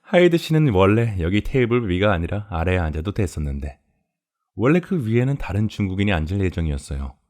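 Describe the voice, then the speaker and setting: A man's voice: deep voice, very animated, fairly quick, a little mellow. A man voicing a polite, sadistic cannibal farmer in a horror audio drama, explaining things in a courteous, leisurely, gloating way. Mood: calmly menacing. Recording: music behind the voice.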